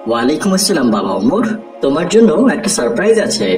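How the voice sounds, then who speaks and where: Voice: mid-range voice, big pitch swings, fast, echoey room, over loud music, close to an everyday voice. A performer playing a kind, caring father in a cartoon, recorded a little far from the mic. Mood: warm, approving. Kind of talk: cartoon voice acting.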